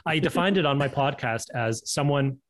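A man's voice: medium pitch, normal speed. A man in his thirties from Alberta, Canada, a guest on a video call, telling stories in a loose, laughing way full of fillers. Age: 30s. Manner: animated, laughing storyteller with many fillers. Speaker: guest, improviser and podcast host. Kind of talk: casual chat on a video call.

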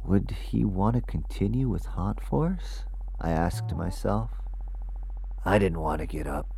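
A man playing an anxious, troubled ghost investigator who narrates his audio drama in a halting, confessional way. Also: deep voice, lively intonation, creaky, dark tone, slight background noise, full character voice. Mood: brooding, uneasy. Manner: halting, hesitant, uneasy.

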